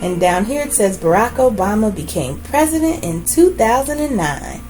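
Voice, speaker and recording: Woman, children's book read-aloud, lively intonation, deliberate emphasis, brief asides, soft background music. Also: mid-range voice, rough recording. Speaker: read-aloud host reading a children's biography.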